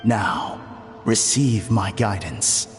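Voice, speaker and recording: Solemn guru in echoing reverb. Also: male voice, on the low side, extremely slow, faint music behind. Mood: solemn, commanding. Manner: pronouncing, authoritative. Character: solemn, commanding spiritual healer promising to purge emotions. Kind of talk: video game voice acting.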